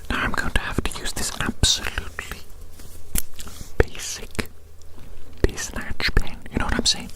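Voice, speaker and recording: An ASMR performer voicing a doting but fussy, scolding creature who examines a patient, in a breathy whisper with repeated phrases. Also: measured pace, close to an everyday voice, rough recording. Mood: fussy, grumbling.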